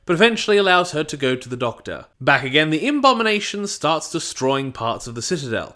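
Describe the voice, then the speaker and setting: A man's voice: medium pitch, fairly bright tone. A man narrating a scripted review, with wry and opinionated asides. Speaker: audio drama reviewer.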